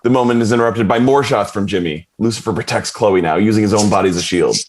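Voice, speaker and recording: A man's voice: fairly low pitch, quick pace. A man reading stage directions as scripted narration for a dramatic table read.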